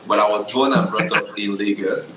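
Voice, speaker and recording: A man's voice: lowish voice, level tone, moderate pace, fairly bright tone, echoey room, a little hiss or hum, low-quality recording. A young adult Nigerian man, a photographer guest, telling his story in a casual interview, often checking "do you understand?".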